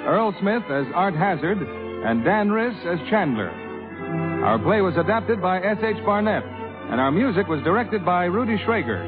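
A man's voice: high voice, sing-song, moderate pace, music in the background. A man announcing in the scripted, selling style of 1950s radio. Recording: tinny vintage 1950s radio broadcast.

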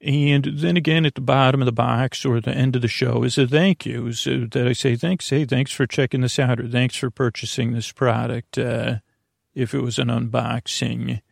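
A man hosting a sleep podcast in a rambling, halting bedtime monologue, with a creaky, gravelly voice. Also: mid-range voice, fairly even intonation, no room sound, clean studio sound. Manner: meandering, halting, repeats and trails off. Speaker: podcast host.

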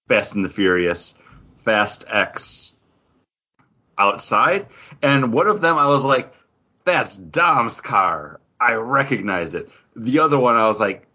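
Bright, animated man with a deep voice. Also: dull audio. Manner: playful, jokey. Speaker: podcast co-host. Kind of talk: casual pop culture podcast chat.

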